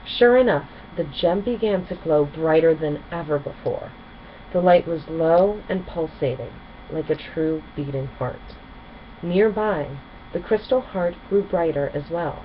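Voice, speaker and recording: A woman's voice: sits low, a little slow. A woman storyteller narrating a children's book expressively. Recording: dull recording with faint noise.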